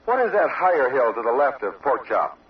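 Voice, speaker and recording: A man interviews on location as a radio news reporter. His pitch is average for a man. The old field recording is dull and slightly distant from the microphone. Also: big pitch swings, a little fast. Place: outdoor observation post.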